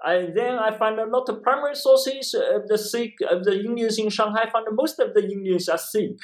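A man's voice: high-pitched, moderate pace. A man from eastern China with a Chinese accent, a professor explaining his work in an interview, repeating phrases and often checking with "right?". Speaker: interview guest, history professor and author.

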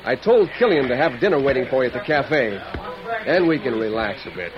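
An actor playing a hearty, folksy old Western mine owner with a scheming streak in an old-time radio western. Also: male voice, medium pitch, sing-song, brisk, close to an everyday voice. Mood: hearty, teasing. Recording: dull old radio recording, crowd noise behind.

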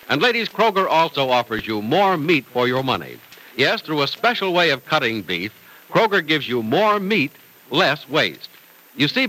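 A man's voice: on the high side, pushed and bright, low-quality recording. A man announcing in scripted 1940s radio style, with an emphatic, polished sales delivery.

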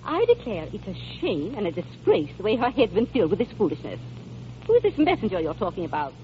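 A woman's voice: medium pitch, huge pitch swings, fast, a little hiss or hum. An actress playing a snobbish, bossy clubwoman and grandmother with a pompous manner, in 1940s radio style. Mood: scolding. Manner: gushing, pompous, scolding. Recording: dull old radio recording.

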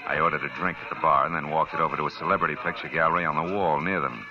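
An actor playing a wisecracking hard-boiled private detective who narrates a 1940s radio drama. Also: male voice, extremely low pitch, fairly even intonation, very fast. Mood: wry. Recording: dull old radio recording with music.

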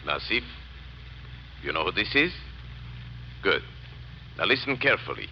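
A man's voice: sits low, sing-song, a little slow, full character voice. An actor playing a cold, menacing male plotter giving clipped, commanding orders, heard as a tape playback in an old radio drama. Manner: commanding, sinister, clipped formal phrasing. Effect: played back from a tape recorder. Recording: muffled, noisy old radio recording.

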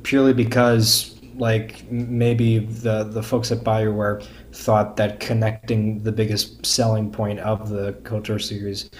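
A man's voice: fairly low pitch, flat delivery, measured pace, slight background noise. A man speaking as a podcast guest in casual, enthusiastic discussion, stumbling and repeating words as he starts.

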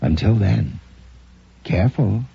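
A man with a husky, gravelly voice giving ominous scripted narration as host of a radio drama series. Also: lowish voice, sing-song, slow pace, warm tone. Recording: muffled old radio recording.

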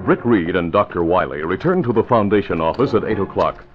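A man's voice: low voice, lively intonation, a little fast. A man announcing and narrating in 1940s radio style, with emphatic, dramatic delivery. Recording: dull vintage radio recording, faint music.

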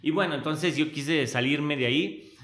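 A man's voice: a little high, moderate pace, a bit bright. Man telling his story. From Switzerland. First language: Italian. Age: early 30s. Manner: reflective, storytelling, searches for words. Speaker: guest. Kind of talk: conversational interview.

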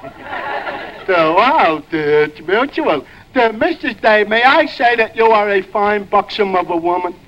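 High-voiced, bashful dimwit oaf. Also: male voice, animated, fairly bright tone, some chatter behind, full character voice. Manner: hesitant, repeats uh, halting. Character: dim-witted, slow, bashful oaf trying to woo. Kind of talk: 1940s radio comedy acting. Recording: muffled, noisy old radio recording.

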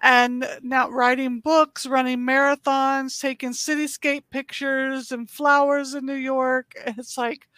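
Chatty woman host, middle-aged, upbeat, kinda high voice. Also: flat delivery, slow, hardly any pauses, a bit bright, smooth, no echo. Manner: bubbly, laughs often. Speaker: podcast host. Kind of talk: casual interview chat.

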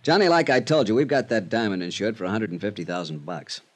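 An American man playing a worried, insistent insurance company man in 1950s radio drama style, brisk and emphatic. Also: fairly low pitch, lightly acted. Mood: worried, businesslike.

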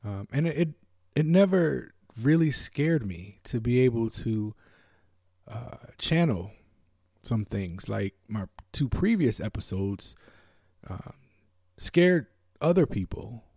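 A man from the United States hosting a podcast, talking alone in a reflective, halting way with many fillers and restarts. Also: lowish voice, dark tone, no echo, clean studio sound. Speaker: podcast host. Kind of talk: reflective solo talk.

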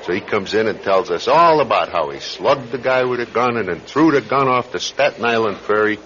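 An actor playing a brusque, world-weary New York police sergeant in a radio drama, blunt and matter-of-fact. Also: male voice, lowish voice, animated, fairly quick, a bit bright. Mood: matter-of-fact, dismissive. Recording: dull radio recording with background hiss.

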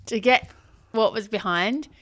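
A woman's voice: a little high, a little slow, noisy background. A young woman co-hosting a podcast, telling stories in a chatty, dramatic way and laughing often. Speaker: podcast co-host. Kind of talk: casual chat.

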